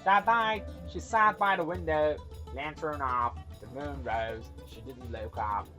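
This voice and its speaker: A woman's voice: low voice, unhurried, fairly bright tone, faint music behind. A woman from Iran telling stories for children in a dramatic, playful way, with casual asides. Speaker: storyteller and host.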